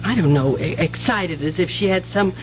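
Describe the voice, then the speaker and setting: A woman's voice: fairly low pitch, moderate pace, close to an everyday voice. An actress playing an anxious, doting rural mother in a 1970s radio drama, with folksy, tender speech. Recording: muffled old radio broadcast, music bed.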